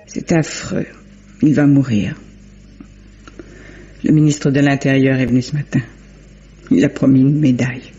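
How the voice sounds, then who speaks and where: A woman's voice: very deep voice, fairly even intonation, a little slow, pauses often, dark tone. An actress playing a brave, devoted woman sleuth in a French radio drama, speaking earnestly and with emotion. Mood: grave, sad. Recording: muffled old radio recording, faint music.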